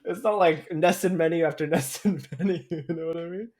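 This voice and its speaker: A man's voice: a little high, moderate pace, darkish tone. A man co-hosting a podcast in casual chat, rambling hesitantly and often repeating his phrases. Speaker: podcast co-host.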